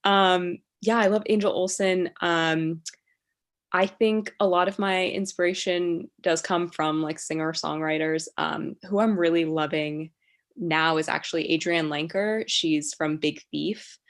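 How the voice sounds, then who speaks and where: Young woman, American accent, low pitched with a fried, gravelly edge; halting and casual, heard over a video call. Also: fairly even intonation. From China. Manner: hesitant, many ums, laughs easily. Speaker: musician guest.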